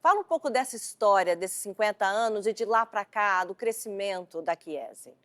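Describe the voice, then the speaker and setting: Brazilian woman hosting, mid-pitched voice. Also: animated, moderate pace, fairly bright tone. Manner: informal, chatty phrasing. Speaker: TV interview host. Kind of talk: conversational business interview.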